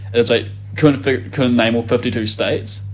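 A man's voice: mid-range voice, steady tone, normal speed, rough recording. Laughing, swearing young Kiwi guy. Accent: New Zealand. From New Zealand. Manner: swears freely, laughs often, rambling. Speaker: podcast co-host. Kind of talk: casual chat.

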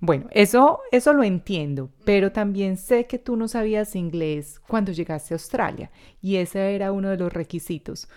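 A woman's voice: medium pitch, normal speed, hardly any pauses, a little mellow, smooth. A young adult woman, hosting a casual podcast interview in her apartment, chatty and affirming. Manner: chatty, affirming, full of backchannel. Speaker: podcast host.

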